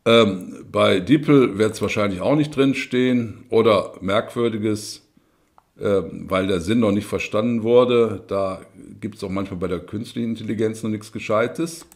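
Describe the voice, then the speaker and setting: A man's voice: lowish voice, measured pace. A man talking through a spreadsheet in a screen-recorded tutorial, explaining step by step with frequent fillers and tag questions. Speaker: instructor.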